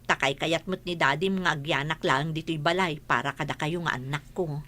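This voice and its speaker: A woman's voice: sits low, moderate pace, bright, forceful tone. An actress playing a wistful, proud mother with old regrets in an audio drama. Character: wistful, proud mother who never finished school. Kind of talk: Ilocano audio drama acting.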